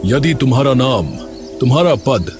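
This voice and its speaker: A man voicing a mysterious spirit that tests a king in slow, solemn, measured words in an animated tale, over music. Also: mid-range voice, natural voice. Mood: solemn, testing.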